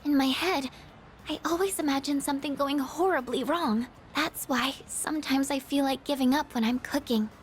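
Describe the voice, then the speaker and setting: A woman playing a shy, gentle, insecure teenage girl in a video game, with a hesitant, apologetic, stammering way of talking. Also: sits high, moderate pace, slight background noise, natural voice. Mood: earnest, downhearted. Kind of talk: video game character dialogue voice acting.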